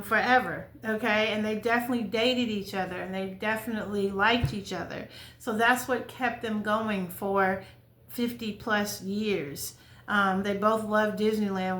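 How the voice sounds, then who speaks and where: An American woman in her fifties speaks in a somewhat high voice, recorded in a noticeably echoey space. She shares stories in a candid, conversational way, often repeating a phrase for emphasis. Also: fairly bright tone. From California, United States. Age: 50s. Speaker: vlogger sharing life stories. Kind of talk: casual personal storytelling to camera. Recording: roomy, echoing sound.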